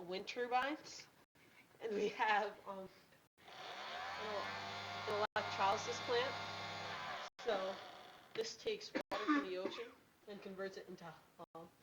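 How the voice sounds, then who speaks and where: A young female student giving an informal presentation in a halting, hesitant way. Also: medium pitch, very noisy. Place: meeting room.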